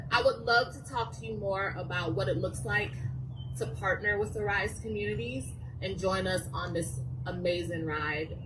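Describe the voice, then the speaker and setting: A woman giving a short, upbeat partnership pitch to an audience. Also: background noise.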